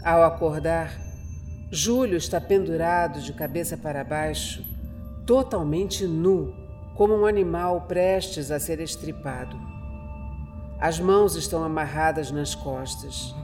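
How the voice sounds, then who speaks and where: Slow, dramatic male horror narrator. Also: fairly high pitch, a little mellow. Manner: measured, dramatic. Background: music.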